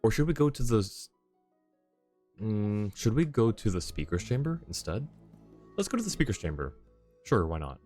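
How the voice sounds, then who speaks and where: A man's voice: fairly low pitch, a little mellow. A man streaming a game live, chatting casually and laughing often with muttered asides. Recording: game music faint behind.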